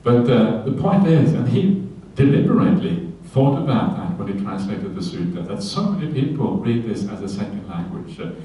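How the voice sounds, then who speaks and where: A man, a Buddhist monk giving a relaxed dharma talk on a sutta, often checking in with his listeners and adding light humorous asides. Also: on the low side, moderate pace. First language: Norwegian. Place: retreat hall. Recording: very echoey hall, voice from a distance, faint ambience.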